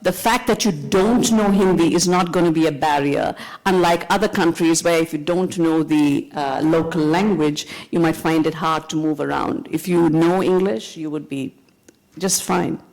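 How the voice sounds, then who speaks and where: A middle-aged woman with a North Indian accent, speaking as a panelist in measured remarks full of fillers. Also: sits low, steady tone, darkish tone, poor audio. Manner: measured, hesitant with many fillers. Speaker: panelist, attorney.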